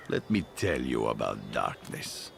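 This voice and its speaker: A man playing a proud, stern father and leader in a video game, gravelly, with a theatrical, commanding delivery. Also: rumbling low, a little hiss or hum, exaggerated voice. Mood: jovial, grandiose. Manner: commanding, deliberate, dramatic pauses. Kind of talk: video game cutscene acting.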